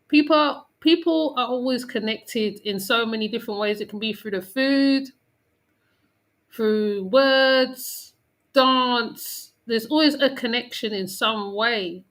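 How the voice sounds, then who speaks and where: Woman, casual reaction host, chatty, frequent restarts, bright voice, slightly high. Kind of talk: casual commentary.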